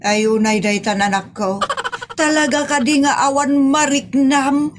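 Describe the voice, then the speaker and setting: A woman's voice: high-pitched, fairly even intonation, unhurried. Scolding Ilocano mother played by a woman, slightly noisy. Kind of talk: Ilocano comedy audio drama acting.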